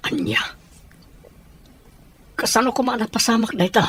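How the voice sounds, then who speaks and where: Husky, troubled young husband, played by a man. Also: very high voice, big pitch swings, normal speed, sharp tone, full character voice. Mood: uneasy, insistent. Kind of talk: audio soap drama acting.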